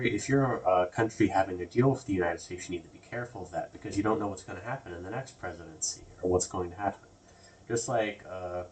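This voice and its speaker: A man with a fairly low voice hosts a casual commentary vlog. He rambles in loose, everyday phrasing and keeps restarting his words. He sits a little back from the microphone. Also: distant mic, rough recording. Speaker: video host.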